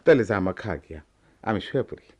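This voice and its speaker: A man playing an ageing, gentle village goldsmith and worried father in a Bengali radio drama, weary and reflective, with a rural Bangladeshi accent. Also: lowish voice, sing-song, fast talker. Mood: tired, fond. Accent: rural Bangladeshi dialect.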